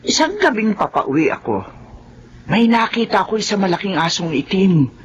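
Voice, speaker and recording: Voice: medium pitch, big pitch swings, normal speed, close to an everyday voice. Earnest village wife, swooping acted delivery. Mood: grave, insistent. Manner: insistent, grave storytelling. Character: earnest superstitious village wife, folk healer's spouse. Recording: dull, noisy radio drama sound.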